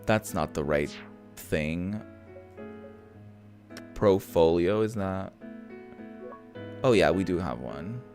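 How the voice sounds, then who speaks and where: A man doing casual let's-play commentary, thinking out loud with some mild swearing over background music. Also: sits low, animated, takes it slowly, long pauses. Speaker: gaming host. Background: background game music.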